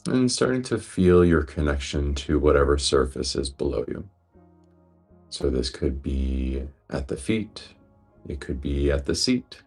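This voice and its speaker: A man guiding a breathwork meditation in a gentle, unhurried, reassuring manner. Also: very deep voice, gravelly, slightly rough. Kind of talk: guided meditation instruction.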